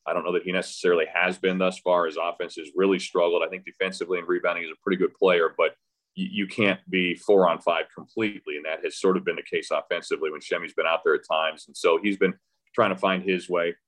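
Low deep guy voice, casual sports chat, thinks out loud and restarts a lot. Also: steady tone, fast. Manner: hesitant, conversational, thinking aloud with false starts. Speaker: radio play-by-play announcer as podcast guest. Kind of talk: casual sports interview talk.

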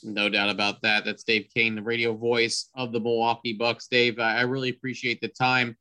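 A man's voice: medium pitch, flat delivery, moderate pace, sharp tone. A man hosting a sports interview in a conversational broadcaster's style. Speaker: sports podcast host and team radio announcer.

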